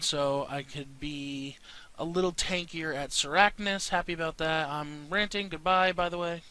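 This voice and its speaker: A man's voice: a little high, sharp tone, smooth, no room sound, poor audio. A man giving casual gameplay commentary in a rambling, informal way, as a video creator talking to viewers.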